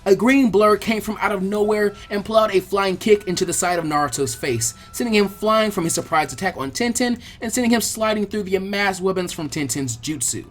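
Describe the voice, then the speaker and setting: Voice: mid-range voice, brisk, hardly any pauses. Dramatic story narrator over background music. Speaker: audiobook narrator.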